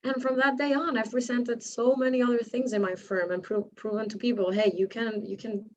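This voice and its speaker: A woman's voice: fairly high pitch, flat delivery, brisk, darkish tone, lo-fi sound, a little hiss or hum. A woman whose first language is Spanish, speaking English with a slight accent as she tells a story on a panel and acts out the quotes. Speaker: panelist, architect.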